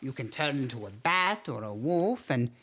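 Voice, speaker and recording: A man's voice: mid-range voice, big pitch swings, moderate pace, bright, forceful tone, breathy edge, exaggerated voice. An actor playing a courtly, easily flustered vampire father with formal, stilted phrasing in a campy horror comedy. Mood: persuasive, reassuring.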